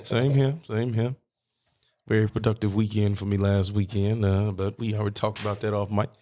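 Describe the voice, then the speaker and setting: A man in his thirties hosts a casual podcast chat, easygoing and quick to laugh. His voice sits a little low, and he swears freely as he tells a story. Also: level tone, mellow, slight background noise. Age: 30s. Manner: laid-back, swears casually, laughs often. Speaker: podcast host.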